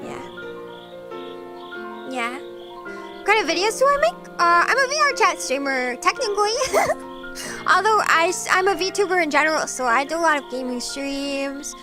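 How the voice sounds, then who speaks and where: A woman from eastern Washington, streaming as a VTuber and chatting casually with viewers, bubbly and quick to giggle. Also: very high voice, lively intonation, music in the background. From eastern Washington, USA. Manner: bubbly and giggly, gasps and laughs often. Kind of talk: casual chat with viewers, reading comments.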